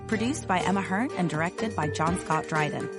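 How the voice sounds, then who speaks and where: A woman's voice: fairly low pitch, normal speed. A woman reading cast and production credits as a scripted radio announcement. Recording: studio voice under loud music.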